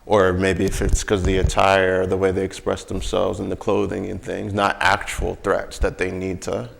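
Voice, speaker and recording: A man's voice: deep voice, monotone, normal speed, gravelly. A man talking in a casual discussion, often checking in with his listener.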